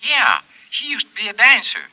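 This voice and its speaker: A man's voice: extremely high pitch, wildly swooping pitch, brisk, bright, forceful tone, full character voice, low-quality recording. An actor playing a folksy, easygoing male informant with a drawl in a 1950s radio drama.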